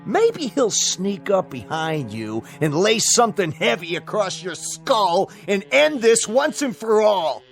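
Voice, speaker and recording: Drunk bitter man, belligerent, sarcastic, slow rambling delivery, radio drama, music behind. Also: high-pitched, sing-song, vocal fry, exaggerated voice. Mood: raging, goading. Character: bitter drunken man, defiant and reckless on his birthday.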